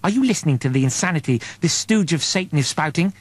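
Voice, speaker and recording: A man's voice: on the high side, lively intonation, fast, no echo, voice pushed up. A fanatically devout woman of a spaceship crew, as a male audiobook reader plays her. She declaims with righteous indignation and pious fervour, in a very dry, close sound. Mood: indignant.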